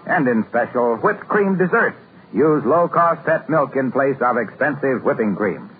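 A man's voice: medium pitch, animated, unhurried. A man announcing for a sponsor in the style of 1950s radio. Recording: tinny, noisy old radio recording.

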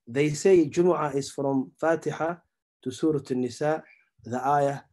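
A man's voice: on the high side, unhurried, dark tone. Man teaching a religious class. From Somalia. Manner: explains step by step, asks the audience questions, repeats terms. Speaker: Islamic teacher. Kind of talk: religious lesson.

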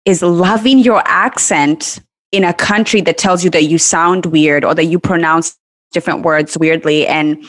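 A low-pitched woman from Kenya co-hosting a podcast, talking over the words in a relaxed, reflective way. Her voice sits close to the mic in a dry, dead room. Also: a little slow, no echo. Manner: warm, affirming, reflective. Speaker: podcast co-host. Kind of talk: casual podcast conversation.

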